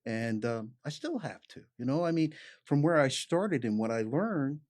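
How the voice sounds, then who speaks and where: A chiropractor chats on a podcast. His pitch swings widely as he talks, animated and full of "you know". He sounds relaxed and conversational. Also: moderate pace. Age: 50s. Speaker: chiropractor guest.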